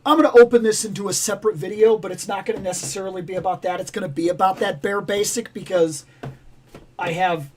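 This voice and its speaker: Casting: high-pitched male livestream host, raspy and hoarse, rambling and animated. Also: fast talker, slight background noise. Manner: rambling, animated, self-interrupting. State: raspy, losing his voice. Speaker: toy channel livestream host. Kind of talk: casual livestream chat.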